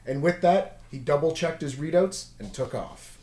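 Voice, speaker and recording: Male storybook reader, lightly high-pitched, dramatic delivery, noticeably echoey room. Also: moderate pace, a little mellow. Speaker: storybook read-aloud host. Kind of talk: scripted children's story narration. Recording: roomy echo.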